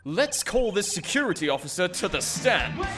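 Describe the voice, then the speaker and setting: Guy playing snooty formal young lawyer in a game, impatient, voice jumps around, soft music under it. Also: sits high, sing-song, normal speed, full character voice. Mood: formal, assured. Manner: formal, clipped, pompous. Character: haughty, formal, impatient young male prosecutor. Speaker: voice actor in fan dub. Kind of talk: formal video game character acting. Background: quiet game music.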